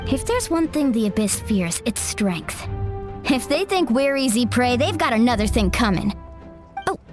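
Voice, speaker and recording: A protective warrior ally, fairly high in voice and full of assurance. She is encouraging and confident, a video game figure with some music behind her. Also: very animated, moderate pace. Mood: confident, reassuring.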